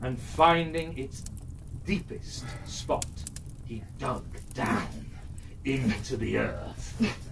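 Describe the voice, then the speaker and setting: Slow, theatrical male storyteller. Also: medium pitch, expressive, creaky. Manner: theatrical, drawn-out delivery with suspenseful pauses and big swells. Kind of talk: dramatic fairytale narration for children. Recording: distant mic, faint background noise.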